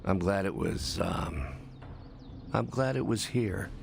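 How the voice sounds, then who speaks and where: An actor playing a remorseful, gentle male scientist hero, speaking quietly and haltingly in a video game cutscene. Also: low voice, expressive, vocal fry, slight background noise, exaggerated voice. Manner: quiet, halting, soft-spoken.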